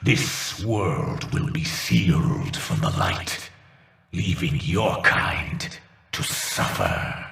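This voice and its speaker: Very slow, gravelly monstrous villain. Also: male voice, a bass voice, sing-song, a bit bright, exaggerated voice. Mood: menacing. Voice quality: gravelly, raspy. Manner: slow, theatrical, mockingly condescending. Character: gloating menacing monstrous male villain, sadistically playful. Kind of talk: video game villain dialogue.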